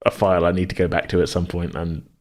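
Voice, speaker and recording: A man co-hosting a podcast in a casual worldbuilding chat, rambling as he thinks out loud. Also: sits low, flat delivery, fast talker. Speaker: podcast co-host.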